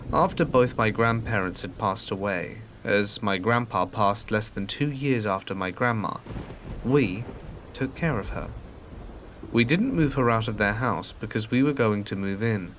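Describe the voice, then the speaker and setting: A man reads true scary stories in a steady, measured storyteller's delivery. His voice sits low, and he sometimes drops to a hushed near-whisper. Faint rain patters in the background of the recording. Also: slight background noise. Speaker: narrator of submitted stories. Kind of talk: calm scripted horror narration. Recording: faint rain ambience behind.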